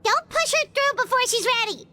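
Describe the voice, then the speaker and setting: Tiny whiny fairy sidekick, super high squeaky voice, swoops all over, game voice with music under it. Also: female voice, animated, normal speed. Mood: whiny, protesting. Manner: whiny, exclaiming, refers to herself in third person. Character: small excitable childlike female fairy companion, easily scared. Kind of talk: video game voice acting.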